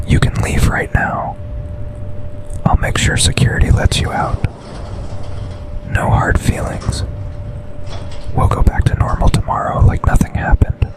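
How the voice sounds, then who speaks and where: A man playing an intense, tender office boss and secret lover in a breathy, intimate whispered ASMR boyfriend roleplay. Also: natural voice, low-quality recording. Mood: gentle reassurance. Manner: slow, intimate, deliberate delivery with pauses.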